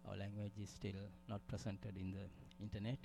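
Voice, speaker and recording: A man's voice: fairly low pitch, level tone, moderate pace, vocal fry, mellow, slight background noise. A man from Sri Lanka giving a conference presentation, hesitant, with many fillers and restarts. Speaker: conference speaker.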